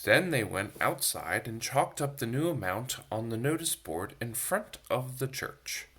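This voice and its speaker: A man's voice: medium pitch, sing-song, unhurried, a bit bright, slightly rough. A man reading a picture book aloud to children, expressively, with chatty asides to his young listeners. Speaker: storytime reader for a church. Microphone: phone.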